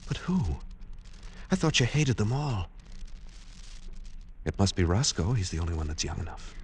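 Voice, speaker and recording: A man's voice: lowish voice, very animated, moderate pace, breathy edge, heavily acted. An actor playing an anxious, lovesick, pleading man in a vintage radio drama. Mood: anguished. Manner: earnest, pleading, theatrical. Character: lovesick, anxious man living secretly in a department store. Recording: old radio recording with music behind.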